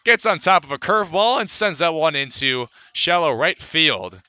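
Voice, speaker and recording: Bright, forceful male baseball play-by-play announcer. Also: fairly high pitch, very animated, moderate pace, clean tone, no room sound. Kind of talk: live sports play-by-play commentary.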